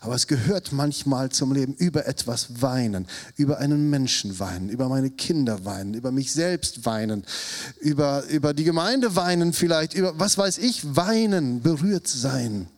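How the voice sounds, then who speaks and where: A man in his fifties preaching a sermon, animated, acting out quotes with a raised voice. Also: medium pitch, moderate pace. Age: 50s. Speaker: pastor.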